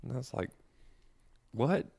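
A man from eastern North Carolina with a Southern accent, rambling through a profane, animated story in casual chat. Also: measured pace.

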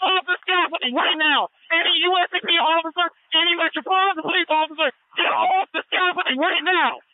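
A man's voice: very high-pitched, a little fast, dry recording. A male police officer in the field making urgent, commanding radio calls and repeating his orders. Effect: police radio transmission. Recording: tinny narrowband handheld police radio.

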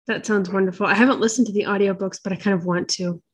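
A woman's voice: mid-range voice, steady tone, fast, slightly rough. A woman co-hosting a podcast, chatting casually and answering in short, agreeable remarks. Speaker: podcast co-host. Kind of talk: casual chat about books.

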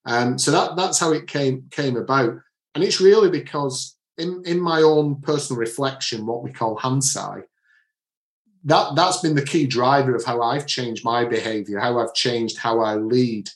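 A man's voice: mid-range voice, moderate pace. Mancunian man in an echoey room. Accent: Manchester English. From Manchester area, England. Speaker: author and leadership coach. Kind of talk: podcast interview answers.

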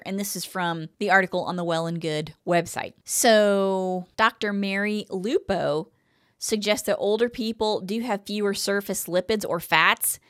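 A woman's voice: medium pitch, dry recording, clean studio sound. A woman in her fifties co-hosting a podcast, chatting casually and reading from articles. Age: 50s. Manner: chatty, reads quotes aloud then comments. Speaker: podcast co-host.

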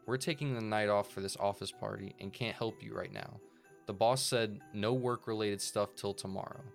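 A man plays a laid-back alien bug henchman from a comic adventure story. He is low-voiced, casual and placating, like a calm employee who wants no trouble, with faint music behind. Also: normal speed, gravelly. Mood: weary.

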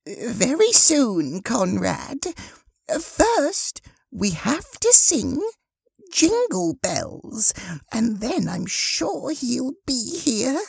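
Female performer for friendly hostess in a story, hugely expressive swoops, slightly creaky voice, close dry sound. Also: on the high side, extremely slow, no echo, heavily acted. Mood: bright, coaxing. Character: Mary, friendly woman hosting a children's Christmas party. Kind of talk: audiobook character dialogue.

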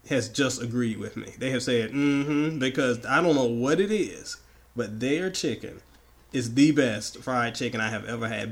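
A man from the American South co-hosting a podcast, hoarse and raspy from the flu, joking and opinionated in casual chat. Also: medium pitch, fairly bright tone. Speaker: podcast co-host.

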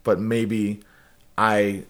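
A man's voice: on the low side, monotone, extremely slow, fairly bright tone. A man on a podcast, talking earnestly about marriage in a rambling way, often restarting his sentences. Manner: earnest, rambling, self-correcting. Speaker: podcast guest. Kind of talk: casual podcast conversation.

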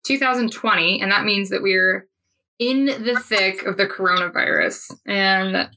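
A woman's voice: mid-range voice, unhurried, bright, forceful tone, poor audio. A woman in her thirties hosting a casual podcast chat, talking over a video call and laughing often. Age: 30s. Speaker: podcast host.

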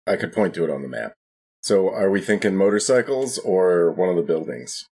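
A man's voice: low voice, lively intonation, fairly quick, a little mellow, husky. A man playing in a tabletop roleplaying podcast, talking casually with the other players. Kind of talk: casual in-game chat.

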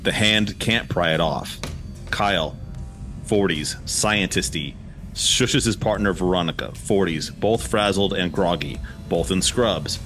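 A man's voice: sits low, measured pace, a bit bright, soft background music. A man hosting a podcast, chatting casually and narrating scripts. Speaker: podcast host.

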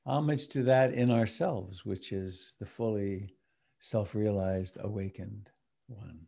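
A man leading a guided meditation as its teacher, speaking in a contemplative, measured way with long pauses. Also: fairly low pitch, gravelly, warm tone, husky. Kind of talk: guided meditation, with sung and chanted refuges.

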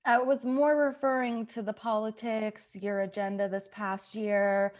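A woman's voice: medium pitch, flat delivery, measured pace, close to an everyday voice. A woman playing a flustered, apologetic reporter in an improvised comedy sketch, hesitant and earnest. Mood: flustered, polite.

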